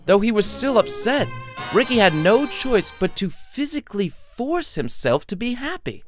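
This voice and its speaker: A man's voice: high-pitched, sing-song, moderate pace, soft background music. A man reading his own short story as scripted audiobook narration, with a wry, playful storytelling manner.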